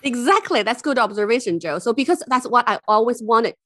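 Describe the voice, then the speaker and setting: A woman's voice: fairly high pitch, lively intonation, fairly quick, bright, forceful tone, no echo, clean studio sound. A woman from China, a university officer, chatting as a podcast guest, enthusiastic and full of fillers and restarts. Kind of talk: casual interview chat.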